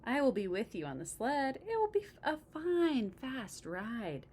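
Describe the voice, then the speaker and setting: A woman voicing a cheerful, eager male frog in a storybook, encouraging his friend with bright exclamations. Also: fairly high pitch, big pitch swings, a little slow, slight background noise, pitched above the performer's own voice.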